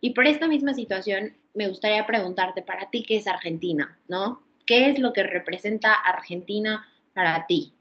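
A woman's voice: medium pitch, normal speed, few pauses. A woman interviewer asking questions conversationally, affirming and wordy as she searches for her phrasing. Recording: dull lo-fi audio.

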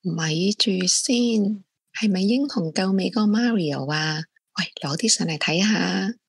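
A woman's voice: mid-range voice, a little slow, slightly rough, no room sound, studio quality. An actress playing a nosy, blunt female friend in an audio drama, prying with questions and exclamations. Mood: nosy, teasing. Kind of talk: Cantonese audio drama acting.

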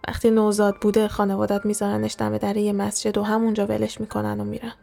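Woman voicing an earnest, secretive young friend, creaky. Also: mid-range voice, completely flat delivery, warm tone, soft background music. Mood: grave, confiding. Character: secretive, serious young friend confiding strange revelations.

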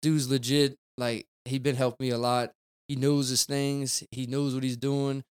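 A young man talks loosely as a podcast guest, rambling and tossing in "dude" and "man". His voice is smooth and clear, sitting at a middle pitch for a man. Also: level tone, moderate pace. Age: 20s. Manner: rambling, laid-back, peppered with "dude" and "man". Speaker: guest, car detailer. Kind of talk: casual podcast chat.

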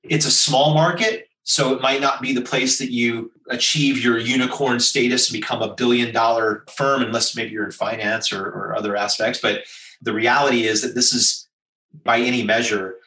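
An American man answers interview questions with emphatic, persuasive advice, stacking points in lists. His voice is bright and forceful. He sits a little back from the mic in a roomy space. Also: mid-range voice, fairly even intonation, normal speed, recorded from a distance. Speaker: business executive guest.

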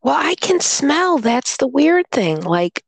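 A woman chatting casually, slowly telling a rambling story about being ill, still sounding congested. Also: high-pitched, very animated, creaky, no room sound. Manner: rambling storyteller, slow and drawn-out. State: congested, recovering from illness. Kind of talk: casual chat.

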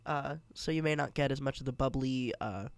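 A man's voice: mid-range voice, a little fast, clean tone, no room sound. A congested young man hosting a casual solo podcast, chatty and rambling with many fillers and restarts. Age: young adult. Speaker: podcast host.

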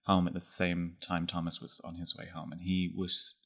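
A man in his thirties from Texas, speaking in a measured, articulate way as a podcast guest. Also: low-pitched, monotone, creaky, a bit bright. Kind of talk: reflective conversation.

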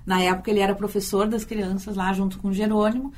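A woman's voice: medium pitch, fairly even intonation, fast talker, darkish tone. A Brazilian woman, a university teacher and storyteller, answering in a radio interview, thoughtful and often repeating words as she thinks.

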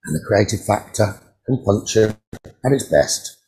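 A man leading a guided meditation as a teacher, giving calm, soothing instructions with frequent pauses. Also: fairly low pitch, low-quality recording.

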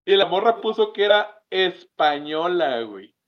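A man's voice: high-pitched, very animated, a little slow, dull audio. A man from Mexicali with a northern Mexican accent, telling stories in a casual chat, rambling and slangy with many fillers. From Mexicali, Baja California. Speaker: podcast co-host.

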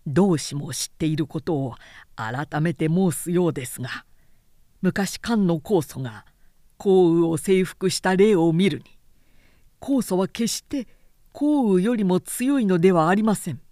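A woman's voice: fairly low pitch, unhurried, warm tone, no echo, clean studio sound, natural voice. Woman as a deferential, earnest male retainer. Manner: deferential, reasoned, formal. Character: respectful, earnest male retainer advising his lord. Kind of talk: audiobook character acting.

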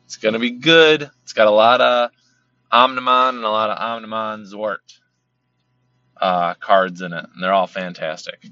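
A man's voice: on the low side, fairly even intonation, moderate pace, bright, forceful tone, smooth, no room sound. A man talking casually about card collecting, rambling and repeating himself, and losing his voice. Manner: rambling, repeats words, many fillers. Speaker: card collecting channel host. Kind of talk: casual monologue. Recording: slightly dull lo-fi sound.

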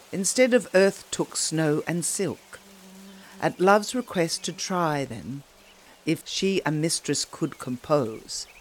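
A woman reciting poetry in a measured, dramatic way with deliberate pauses. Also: sits low. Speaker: poetry reader.

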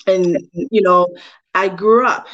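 A woman gives personal testimony in an interview, speaking in an earnest, devout way. Her voice sits at a middling pitch. The sound is dry, with a slightly dull, lo-fi finish. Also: steady tone, slow pace, a bit bright, dry recording. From Liberia. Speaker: interview guest.

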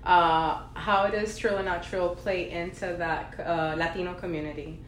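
Low-pitched woman interviewer, echoey room. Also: steady tone, normal speed. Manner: attentive, frequent "mm-hmm" backchannels. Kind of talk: interview hosting. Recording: roomy echo, faint noise.

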